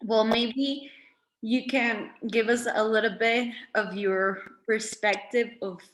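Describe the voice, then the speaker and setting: A young adult woman student from Mexico, talking through a class discussion in a measured, thoughtful way with some hesitations. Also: a little high, steady tone, slight background noise. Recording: echoey room.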